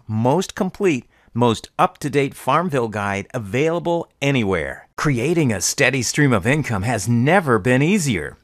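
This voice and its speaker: A man's voice: medium pitch, no echo. A middle-aged man working as a voice-over artist, reading scripted commercial narration in a polished, upbeat sales manner.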